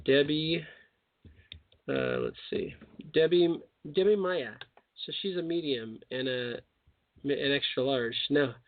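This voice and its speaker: A man's voice: mid-range voice, very animated, takes it slowly, pauses often. A man hosting a call-in radio show, talking casually and rambling with joking asides. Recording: tinny phone line.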